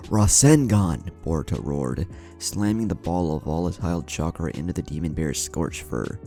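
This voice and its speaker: A man's voice: extremely low pitch, moderate pace, mellow. A man narrating a scripted adventure story in a dramatic storytelling style. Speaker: narrator of a fan fiction story. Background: music.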